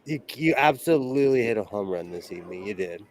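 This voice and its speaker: A man's voice: on the low side, lively intonation, fast. A man hosting a livestream in casual, jokey chat, often breaking off and restarting his stories. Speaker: host of a sewing livestream.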